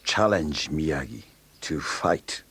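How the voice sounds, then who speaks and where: A man's voice: very deep voice, slow pace, fairly bright tone, breathy edge, exaggerated voice. A man playing an older, stoic Okinawan karate master in a read-along story, speaking terse broken English with a Japanese accent. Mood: reflective.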